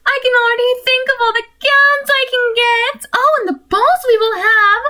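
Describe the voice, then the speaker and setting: A spoiled young unicorn princess from a cartoon audio drama. Her voice is extremely high and brightly cutting. She speaks in a grand, pompous, commanding way. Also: steady tone, normal speed. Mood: delighted, grand.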